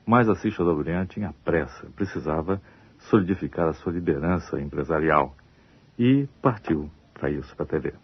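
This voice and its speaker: A man with a Brazilian accent giving measured, expository historical commentary as a broadcaster. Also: a bass voice, lively intonation, slightly rough. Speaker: radio researcher and broadcaster. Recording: dull, dry old radio recording.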